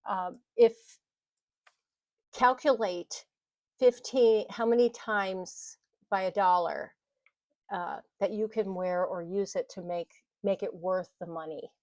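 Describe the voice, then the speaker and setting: A woman's voice: mid-range voice, fairly even intonation, slow, a little mellow, no echo, studio quality. A middle-aged woman talking casually to camera in a vlog, rambling and correcting herself, with frequent small laughs. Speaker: vlogger. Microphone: mic on the desk, bumped at times.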